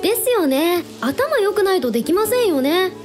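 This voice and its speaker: A woman playing a flattering young pop idol in a radio drama, sweet, polite and admiring. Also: extremely high pitch, normal speed, faint music behind.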